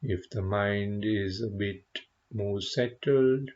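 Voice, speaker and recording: A man leading a guided meditation as a teacher, speaking gently and contemplatively, with unhurried pauses between short phrases. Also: lowish voice, dull audio. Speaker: meditation teacher, Buddhist monk.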